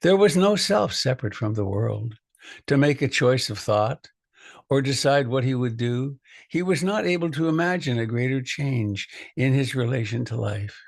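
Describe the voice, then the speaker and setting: A man reads poetry aloud with a gravelly creak in a mid-pitched voice. His phrasing is measured and reflective, like a meditation teacher's. The sound is close and dry. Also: animated, dry recording.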